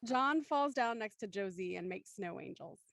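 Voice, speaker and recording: A woman's voice: medium pitch, animated, moderate pace, gravelly, a bit bright, no room sound. A woman narrating scripted stage directions for a live drama reading.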